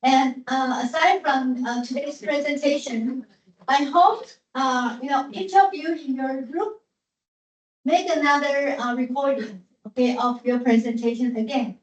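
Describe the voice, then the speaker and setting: A woman's voice: high voice, measured pace. A woman teacher giving classroom instructions with many fillers and repeated words. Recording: echoey classroom from far off, dull.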